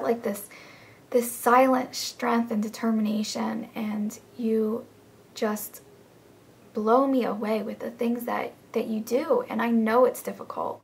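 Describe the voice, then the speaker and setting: A young woman who is losing her voice, talking earnestly and encouragingly to her friends in a casual video message, often slipping into a breathy, whispery delivery. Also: a little high. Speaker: vlogger in a group channel.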